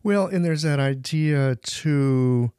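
A man's voice: a little high, slow pace, smooth, no room sound. Folksy man host with a dry sound. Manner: relaxed, folksy, uses everyday analogies. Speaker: podcast host, practitioner. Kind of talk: casual professional conversation.

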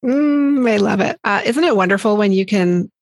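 A woman's voice: medium pitch, lively intonation, normal speed, no echo, studio quality. A woman hosting a podcast interview, asking questions and affirming her guest with enthusiasm. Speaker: podcast host.

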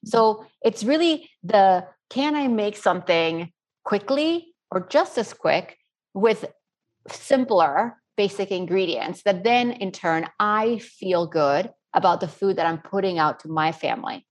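A woman's voice: medium pitch, lively intonation, unhurried. A middle-aged woman from Spain answering interview questions in an earnest, rambling, conversational way. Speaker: interview guest, self-taught cook.

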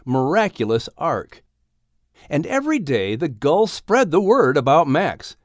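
A man narrates a storybook in a scripted audiobook style. His pitch moves widely and expressively from phrase to phrase. The recording is very dry, with no room sound. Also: no room sound.

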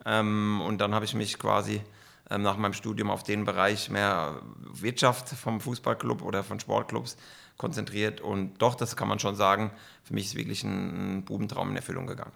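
A man's voice: on the low side, little pitch movement, moderate pace, gravelly, fairly bright tone. A middle-aged man from Germany, a football club executive, answering podcast questions with enthusiasm and frequent fillers.

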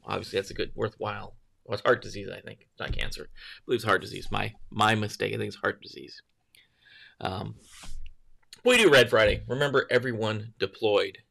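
A man with a gravelly, fry-edged voice chats loosely through a morning livestream. He greets viewers one by one, wanders between topics and corrects himself as he goes. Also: fairly low pitch, fairly even intonation, brisk, bright, forceful tone. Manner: rambling, greets viewers by name, self-correcting. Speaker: livestream host. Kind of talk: casual morning livestream chat.

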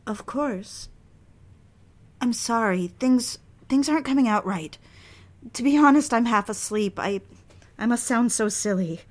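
A woman's voice: fairly high pitch, measured pace, long pauses, lightly acted. An actress playing a proper, dutiful and easily flustered young secretary in an audio drama, a woman speaking hesitantly and earnestly. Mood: flustered, apologetic. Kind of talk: dramatic audio fiction, intimate dialogue.

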